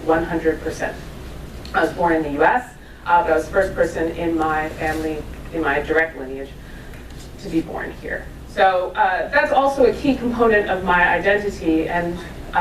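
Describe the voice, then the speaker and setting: A woman's voice: medium pitch, recorded from a distance, slight background noise. A woman in her thirties from New York giving a casual, self-deprecating talk to a live audience, with asides and laughs. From New York, USA. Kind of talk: conversational lecture to a live audience. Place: lecture room with audience. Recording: echoey room, voice from afar.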